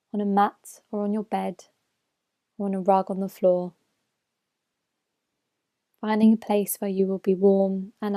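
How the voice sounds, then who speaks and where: A woman guides a meditation in a mellow, relaxed voice. Her intonation stays very even and flat, and her phrases are gentle and measured. The sound is dry and close, with no room around it. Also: medium pitch, no echo. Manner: gentle, measured, unhurried instruction with soft phrase endings. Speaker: mindfulness meditation teacher. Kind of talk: slow guided meditation narration.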